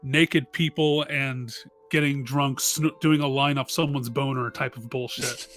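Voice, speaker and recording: A man's voice: mid-range voice, steady tone, measured pace, a bit bright, faint music behind. A man playing a tabletop roleplaying game, chatting casually and thinking aloud with frequent stumbles.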